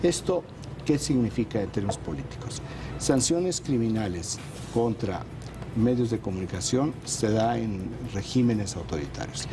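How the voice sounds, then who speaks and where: A man, a regular on a political panel show, presenting a news roundup in a measured, explanatory way with frequent hesitations. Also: on the low side, lively intonation, background noise.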